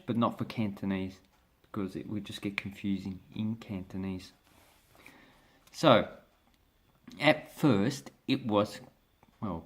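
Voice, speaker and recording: An Australian man talks through a casual lesson in a lowish voice. He takes his time, moving at an easy, slow pace and often stopping on fillers and restarts as he points to pages in a book. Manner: relaxed, slow and hesitant, with many fillers and restarts; explains by showing pages from a book. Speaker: language vlogger. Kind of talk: casual spoken lesson to camera.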